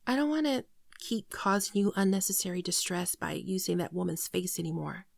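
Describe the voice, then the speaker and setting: A woman playing a gentle, naive shapeshifting alien in an ASMR roleplay, speaking softly, hesitantly and tenderly, often near a whisper, close to the microphone. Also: lowish voice, lively intonation, brisk, creaky, no room sound. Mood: shy, grateful. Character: gentle shapeshifting female alien, naive, earnest, shyly affectionate. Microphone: close microphone, dry sound.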